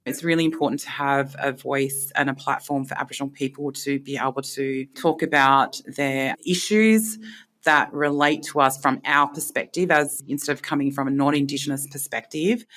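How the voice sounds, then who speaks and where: A woman's voice: rumbling low, fairly even intonation, moderate pace, fairly bright tone. A woman with an Australian accent from country New South Wales, talking reflectively about her own experience as an interviewee. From far western New South Wales, Australia. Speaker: interviewee, media professional.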